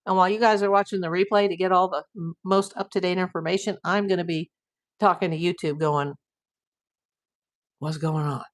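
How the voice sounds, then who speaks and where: A woman's voice: lowish voice, steady tone, brisk. Woman host losing her voice. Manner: chatty, reads viewer comments aloud. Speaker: livestream host. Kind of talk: casual livestream chat reading comments.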